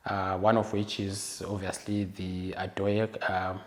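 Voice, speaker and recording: A man's voice: deep voice, little pitch movement, a little slow. A man hosting a podcast, welcoming his guest and asking questions with many hesitations and restarts. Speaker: podcast host. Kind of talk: interview hosting.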